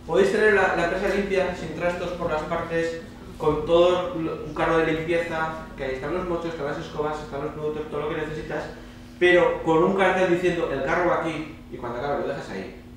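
A man's voice: on the high side, steady tone, fairly quick. A man with an accent from Spain giving an informal conference presentation, colloquial and emphatic, often checking in with short tag questions. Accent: Spanish (Spain). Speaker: speaker at a student congress. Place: indoor lecture hall, speaker standing on stage. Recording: roomy, distant mic with faint noise.

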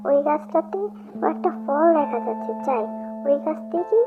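A woman plays an anxious, hard-working mother animal in a fairy-tale cartoon, with a very high voice. The audio is dull and lo-fi, with music running behind her. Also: moderate pace. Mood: worried.

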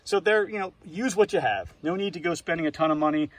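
A man in a casual outdoor how-to walkthrough, showing his hive gear in an apiary yard, relaxed and practical, with lots of "uh" and "right?" fillers. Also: a little high, fast, no echo.

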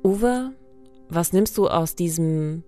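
A woman with a distinctly low-pitched voice hosts a true-crime podcast. She speaks, moving between conversation and presenting. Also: lively intonation, takes it slowly, dark tone, soft background music. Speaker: podcast host.

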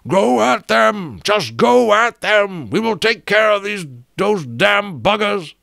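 Man doing a bellowing high-pitched battle commander. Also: sing-song, vocal fry, no room sound, clean studio sound, full character voice. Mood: fierce, rallying. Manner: bellowing, drawn-out shouted orders. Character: bellowing male battle commander, fierce and blustering. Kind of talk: dramatic storybook character reading.

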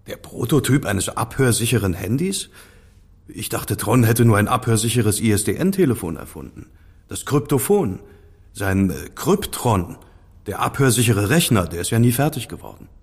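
Husky, sceptical male investigator. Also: lowish voice, big pitch swings, normal speed, full character voice. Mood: curious. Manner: questioning, direct, slightly flippant. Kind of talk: German audio drama dialogue acting.

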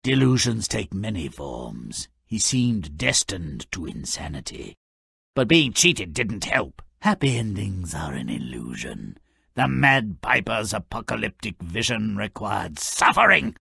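A grubby, cynical imp narrating twisted fairy tales in a video game. The voice is raspy and harshly bright, drawn out at a slow, theatrical pace. The delivery is mocking and gleefully hammy. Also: male voice, lowish voice, very animated, no room sound, clean studio sound, full character voice. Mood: ominous, dry. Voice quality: gravelly, raspy.

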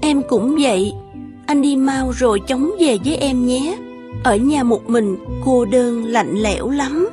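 A woman voicing a gentle, caring young wife with a soothing manner in an animated fairy tale. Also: high-pitched, measured pace, over music. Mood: tender, wistful.

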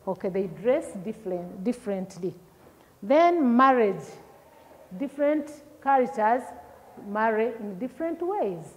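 A middle-aged Ugandan woman teaching a lesson to pupils, explaining slowly, asking them questions and repeating key words. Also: medium pitch, darkish tone, in a large hall. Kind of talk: recorded classroom lesson for pupils. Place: classroom with projector screen.

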